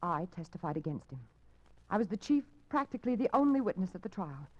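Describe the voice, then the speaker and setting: A woman's voice: on the low side, very animated, quick pace. An actress playing an anxious, insistent young woman in fear, in urgent old-time radio suspense style. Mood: fearful. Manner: urgent, emphatic. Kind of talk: 1950s radio suspense acting. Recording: muffled old radio recording.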